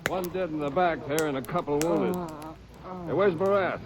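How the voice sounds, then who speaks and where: A man's voice: fairly high pitch, moderate pace, a crowd in the background, lightly acted. An actor playing a matter-of-fact lawman surveying a crime scene in a 1950s radio western. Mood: businesslike. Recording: noisy old radio broadcast.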